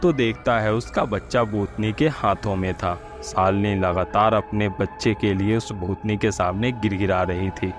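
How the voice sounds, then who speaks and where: A man's voice: on the low side, measured pace, few pauses, music in the background. A man working as a storyteller, reading a scripted horror story narration.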